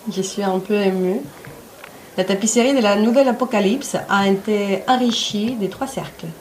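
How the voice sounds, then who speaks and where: A woman's voice: medium pitch, moderate pace, close to an everyday voice, low-quality recording. A woman playing an earnest, moved community member making a solemn announcement in an audio drama. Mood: moved, proud. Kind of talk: ceremonial speech in audio fiction.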